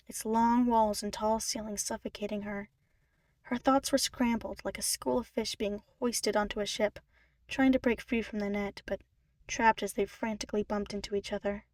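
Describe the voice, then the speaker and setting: A woman narrates a piece of fiction as a scripted reading. Her voice sits at a middle pitch, recorded close and dry with little room sound. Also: a little mellow, no echo. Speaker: podfic narrator.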